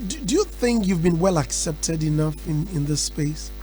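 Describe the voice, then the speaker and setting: A man hosting a radio interview, chatty and animated. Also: a little high, normal speed, faint music behind. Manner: animated, chatty, acts out quotes. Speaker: radio host. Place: radio studio.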